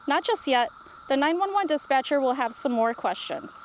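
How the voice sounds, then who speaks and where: A patient storybook grandmother, read aloud by a woman, reassuring and instructive. Her voice sits high, with faint noise behind it. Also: expressive, moderate pace, dull audio.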